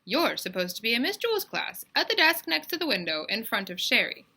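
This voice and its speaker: A woman's voice: a little high, big pitch swings, fairly quick, sharp tone, voice pushed up, exaggerated voice. A woman voicing an officious man in a storybook, bossy and interrogating. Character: officious mustached official with attaché case and rules.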